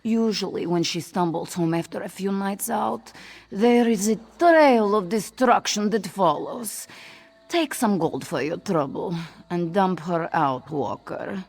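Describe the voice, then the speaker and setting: Actress as fed-up hardworking feline sister in a fantasy game: mid-pitched, scolding, long-suffering. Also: female voice, a little slow, vocal fry, a little mellow. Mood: exasperated. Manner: scolding, long-suffering, speaks of herself in third person. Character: exasperated, hardworking cat woman, scolding older-sister type. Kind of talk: AI-voiced video game quest dialogue.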